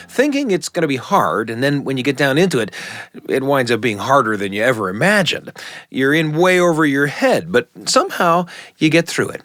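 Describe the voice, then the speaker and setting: A man hosting a radio story show, reading a scripted introduction with an animated, promotional delivery. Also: medium pitch, dry recording. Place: studio. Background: music.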